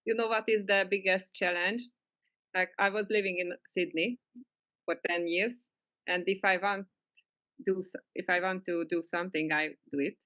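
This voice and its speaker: Middle-aged Hungarian woman, lowish dry voice. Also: fairly even intonation, moderate pace, a bit bright, dry recording, poor audio. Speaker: spiritual teacher, client on a coaching call. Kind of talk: casual personal sharing.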